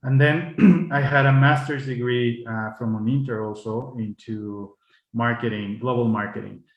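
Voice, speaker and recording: A man answers questions in English at an even middle pitch, friendly and prone to restarting his phrases. The room around him gives his voice a clear echo. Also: unhurried, highs cut off. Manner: friendly, repeats words while thinking. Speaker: guest speaker. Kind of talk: colloquium Q&A. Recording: echoey room.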